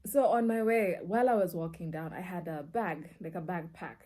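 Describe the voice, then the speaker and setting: A woman's voice: lowish voice, normal speed. A woman with a Kenyan accent telling personal stories casually and expressively, slipping between languages and acting out dialogue. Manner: expressive, acts out dialogue and reactions. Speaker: media personality and storyteller. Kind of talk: casual personal storytelling, mixing in Swahili.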